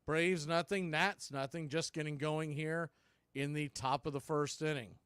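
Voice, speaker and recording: A man calls a baseball game for a fan radio stream, his fairly high voice smooth and resonant on a close, dry mic. He moves between pitch calls and casual, opinionated asides. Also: fairly even intonation, measured pace, a bit bright, no room sound. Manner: conversational, opinionated fan commentary. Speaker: fan radio play-by-play host. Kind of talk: play-by-play baseball commentary.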